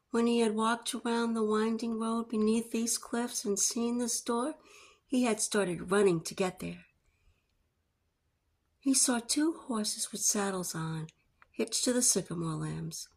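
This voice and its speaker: A woman's voice: on the high side, takes it slowly. A woman reading a story aloud as a storyteller, in narration style.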